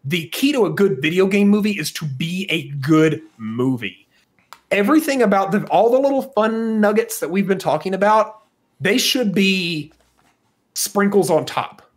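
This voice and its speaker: A man's voice: high-pitched, animated, normal speed. A man co-hosting a podcast, talking casually and giving opinions with emphatic stress on key words. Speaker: podcast co-host.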